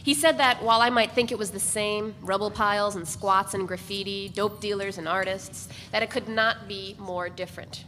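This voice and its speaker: A woman's voice: mid-range voice, steady tone, moderate pace, few pauses, pushed and bright, faint music behind. Woman narrating a novel in an echoey hall. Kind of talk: literary narration, dramatic reading of a novel. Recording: noisy, reverberant hall recording.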